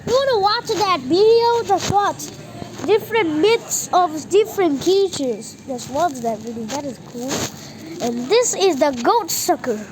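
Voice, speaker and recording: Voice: extremely high pitch, expressive, measured pace. A child giving a casual, slangy and exclamatory reaction commentary on a horror video. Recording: noisy home recording.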